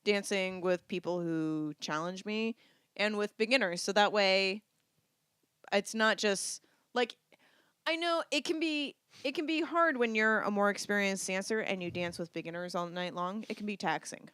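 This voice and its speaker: A woman's voice: medium pitch, moderate pace, a bit bright, smooth, no room sound, clean studio sound. A woman co-hosting a podcast, chatting casually and giving advice in a playful, teasing way. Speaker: podcast co-host, dance teacher.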